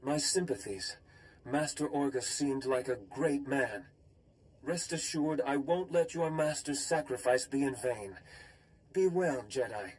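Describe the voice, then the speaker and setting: A man's voice: mid-range voice, unhurried, natural voice, rough recording. An actor playing a dignified, earnest nobleman in a video game, speaking with formal courtesy. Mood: sympathetic.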